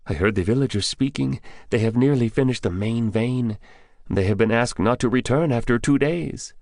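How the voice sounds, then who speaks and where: A man's voice: medium pitch, normal speed, creaky, darkish tone, dry recording, studio quality, pitched above the performer's own voice, lightly acted. A man voicing a frightened young male village storekeeper in an audiobook, anxious and pleading. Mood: bitter. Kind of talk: audiobook character voice.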